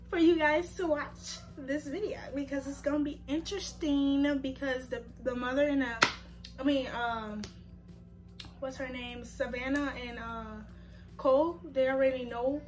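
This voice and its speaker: A woman's voice: high voice, poor audio. A young woman hosting a reaction video, talking casually and rambling with lots of fillers, her voice worn from getting sick. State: losing her voice from sickness. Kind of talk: casual slangy chat to viewers.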